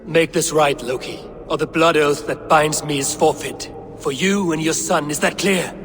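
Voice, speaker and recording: A man plays a Norse high god ruling his realm in a video game cutscene. He is stern and measured, giving formal orders with authority. The voice rings with long reverb over music. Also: close to an everyday voice.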